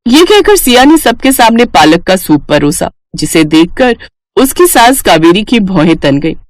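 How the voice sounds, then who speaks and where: A woman's voice: mid-range voice, big pitch swings, measured pace, no room sound, studio quality. A woman narrating a scripted moral story as a storyteller.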